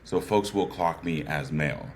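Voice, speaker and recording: Very low-voiced man, thoughtful guest storyteller, light background hiss. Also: normal speed. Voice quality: bassy voice. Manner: earnest, thoughtful, frequent "right?" asides. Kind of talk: reflective conversational storytelling.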